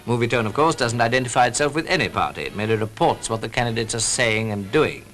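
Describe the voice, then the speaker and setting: A man's voice: mid-range voice, expressive, fast, fairly bright tone. A British man reading scripted newsreel commentary in the style of the 1940s, as a narrator. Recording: vintage 1940s newsreel soundtrack with music. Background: music.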